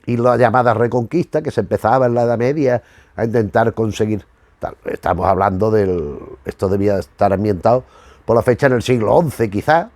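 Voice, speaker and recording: A man's voice: on the low side, brisk, a little mellow, no echo. A man from giving casual, rambling commentary as a host, often searching for words and rewording as he goes. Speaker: YouTube host reviewing retro cartoons.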